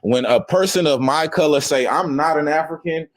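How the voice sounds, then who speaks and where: A man with a slightly raised pitch hosts a casual group debate, laying out his points like a lecture. He keeps pressing his listeners with quick "right?" and "okay?" checks as he drives each point home.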